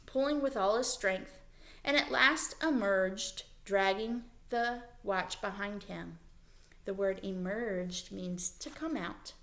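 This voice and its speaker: A woman reading a children's story aloud as a teacher, in expressive narration. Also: medium pitch.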